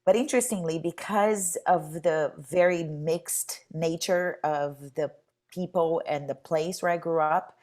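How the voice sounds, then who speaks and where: Low-voiced woman in her forties. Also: a little slow, darkish tone. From Brazil. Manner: thoughtful, self-correcting, sometimes speeds up. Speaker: journalist, panel guest. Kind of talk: reflective panel conversation.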